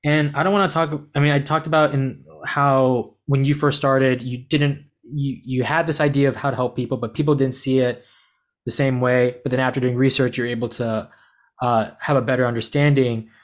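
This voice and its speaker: A man's voice: medium pitch, fairly even intonation, normal speed. A male host asks his guest long, winding questions in a medium voice, stopping and rephrasing before he lands on the point. The delivery is casual and conversational. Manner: rambling, restarts questions. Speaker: podcast host.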